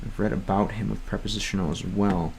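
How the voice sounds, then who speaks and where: A man's voice: fairly low pitch, fairly even intonation, vocal fry, a little mellow, a little hiss or hum, rough recording. Rambling young American man. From United States. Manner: rambling, halting, lots of fillers and restarts; hesitant beginner Russian with self-corrections. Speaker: streamer learning Russian. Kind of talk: casual livestream chat.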